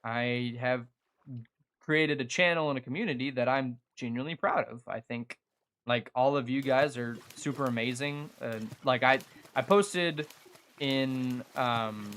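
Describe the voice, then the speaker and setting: A young man talks casually as he plays a game for his viewers. His voice sits at an average male pitch. He rambles in an earnest, halting way, often thinking out loud. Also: a little hiss or hum. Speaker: gaming streamer and video creator. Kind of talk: casual chat over live gameplay.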